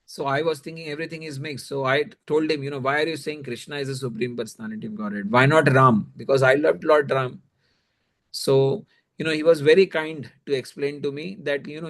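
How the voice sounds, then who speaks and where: A man's voice: fairly high pitch, fairly even intonation, fairly quick. A man with an Indian accent teaching religion in a conversational, explanatory way, often adding "you know" as he goes.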